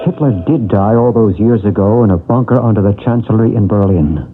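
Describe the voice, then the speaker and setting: An actor playing a calm paranormal expert who gently reassures a woman in an old radio drama. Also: fairly low pitch, fairly quick, loud music behind the voice, lightly acted. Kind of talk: 1970s radio drama acting. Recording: tinny old radio broadcast.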